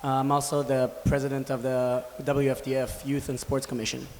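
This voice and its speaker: A man's voice: medium pitch, completely flat delivery, fairly quick, darkish tone. A young man from Israel who leads a sports association and coaches, speaking earnestly with hesitant fillers. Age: young adult. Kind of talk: panel discussion. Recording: reverberant hall with faint noise.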